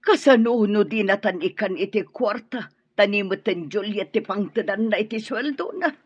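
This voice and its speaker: A woman playing a needy, scheming middle-aged mother-in-law in an Ilocano radio drama, with a husky voice. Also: medium pitch, moderate pace, close to an everyday voice. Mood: fretful. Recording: dull audio with music underneath.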